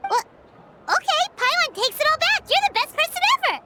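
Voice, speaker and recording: A woman voicing a small, excitable, cute fairy companion in a video game, with a squeaky childlike voice and a dramatic way of talking. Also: brisk, very noisy. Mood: delighted. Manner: refers to self in third person, dramatic. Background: game music.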